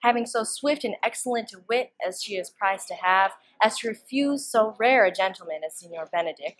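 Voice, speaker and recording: A teenage girl playing a deferential gentlewoman attendant who joins in a plot, in a student Shakespeare performance. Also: mid-range voice, brisk, close to an everyday voice, poor audio. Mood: earnest, deferential. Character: Ursula, young gentlewoman attendant, deferential co-conspirator.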